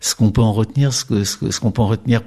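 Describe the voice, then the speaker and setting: A man's voice: fairly low pitch, steady tone, very fast, dark tone. An older man from Czechia with a faint Czech accent, a documentary filmmaker talking in a radio interview, hesitant with many fillers and restarts.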